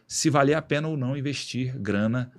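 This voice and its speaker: A man being interviewed as a guest in a radio studio, explaining in a relaxed, slangy way with many restarts. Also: mid-range voice, fairly quick. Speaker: guest, executive director of an institute.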